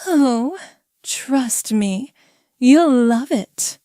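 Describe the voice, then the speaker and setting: Storybook showroom hostess, voiced by a man: slow, high, purring, teasing and in command. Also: lively intonation, dark tone, dry recording, studio quality, heavily acted. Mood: smug, teasing. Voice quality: smooth. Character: glamorous smooth commanding saleswoman, mischievous and teasing.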